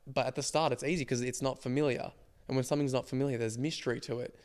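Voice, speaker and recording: A man in his twenties co-hosting a podcast with a slightly croaky voice, reflecting at length in casual chat. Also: medium pitch, steady tone, fast, darkish tone. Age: 20s. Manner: reflective, explains ideas at length. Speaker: podcast co-host.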